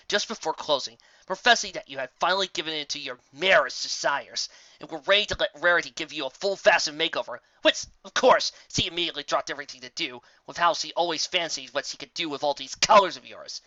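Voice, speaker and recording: A man voicing a temperamental female pegasus in a story, stern and dry, forcing herself to stay calm. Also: a little high, brisk, no echo, lo-fi sound. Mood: exasperated.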